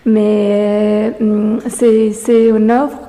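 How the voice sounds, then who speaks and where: A woman artist speaking French as a second language, answering interview questions slowly with many fillers and restarts, recorded in a gallery. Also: medium pitch, level tone, mellow. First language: not French. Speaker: exhibiting artist. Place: art gallery. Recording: long reverb of a gallery room.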